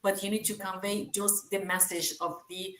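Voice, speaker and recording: A woman's voice: mid-range voice, level tone, moderate pace, a bit bright, slight background noise. Woman, Persian accent, second-language English, reassuring group-chat speaker, echoey room. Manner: reassuring, hesitant with many fillers. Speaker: team member sharing experience.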